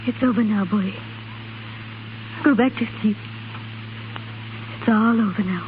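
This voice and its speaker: An actress playing a grieving, bitter young Irish widow in a 1930s radio drama. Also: female voice, medium pitch, measured pace, narrow, tinny audio, close to an everyday voice. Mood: numb, subdued. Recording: thin 1930s radio broadcast, faint noise.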